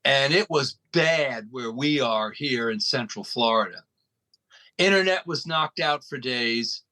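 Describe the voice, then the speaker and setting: A man's voice: medium pitch, lively intonation, a little slow, pushed and bright. A man speaking as an interview guest, explaining things in an emphatic, folksy storytelling way. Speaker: interview guest, radio station operator. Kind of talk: conversational explaining.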